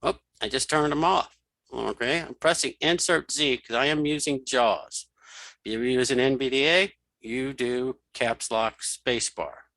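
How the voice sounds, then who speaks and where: A man teaches software in a casual, think-aloud way. His mid-pitched voice is bright and edged with fry, recorded very dry. He explains each small step informally as he goes. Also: a little slow, no echo. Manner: informal, talks through each keypress. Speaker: screen reader tutorial instructor.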